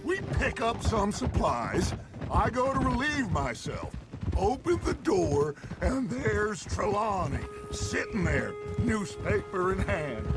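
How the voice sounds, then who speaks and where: An actor playing a confident, genial middle-aged outlaw gang leader in a western game, with a grandiose, wordy, commanding manner. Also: male voice, sits high, full character voice. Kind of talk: cinematic western game acting.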